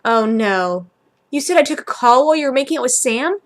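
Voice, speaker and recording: A woman voicing a bossy, exasperated, sharp-tongued young man in a story reading. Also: sits high, expressive, a little fast. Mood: alarmed.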